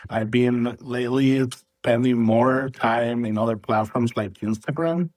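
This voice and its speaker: A man from Venezuela, a guest on an interview podcast, talking in a relaxed, rambling way and often tagging his sentences with "right?". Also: mid-range voice, unhurried, dry recording. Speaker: guest, coffee roaster and content creator. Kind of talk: casual interview talk.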